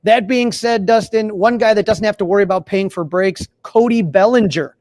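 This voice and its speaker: A man's voice: sits high, normal speed, darkish tone, no echo. An American man co-hosting a sports podcast in a casual, rambling way, often starting a phrase over.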